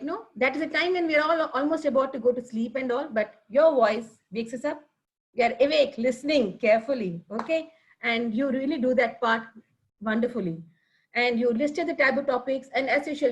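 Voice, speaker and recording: A woman giving a chatty, encouraging evaluation at an online speaking club meeting over a video call. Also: high-pitched. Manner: warm, encouraging, chatty with frequent fillers.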